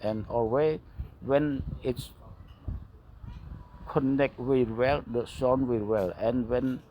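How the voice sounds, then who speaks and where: A man with a non-native English accent narrating a hands-on repair tutorial as a technician, speaking in short, broken, halting phrases. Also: mid-range voice, fairly even intonation, lo-fi sound, a little hiss or hum. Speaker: phone repair technician and instructor.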